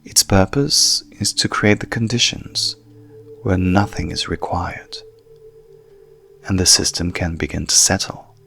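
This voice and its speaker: A man speaking as a hypnotherapist in a calm, hushed, soothing manner, softly guiding a sleep meditation with long pauses. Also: sits low, vocal fry, soft background music. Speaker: coach and hypnotherapist guiding a session.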